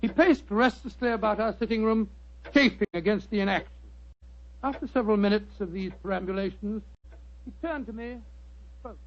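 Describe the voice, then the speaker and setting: A polite old-fashioned english doctor, high voice, bit bumbling, muffled old radio recording. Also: male voice, brisk. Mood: storytelling. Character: genial, proper English doctor, the detective's loyal companion narrator. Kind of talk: 1940s radio acting, storytelling. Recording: dull 1940s radio recording.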